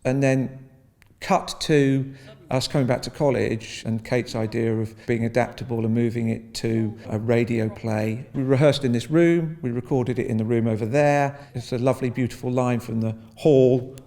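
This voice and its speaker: A man's voice: medium pitch, steady tone, moderate pace, warm tone, big-room sound. A man speaking reflectively in a documentary interview, rephrasing as he goes.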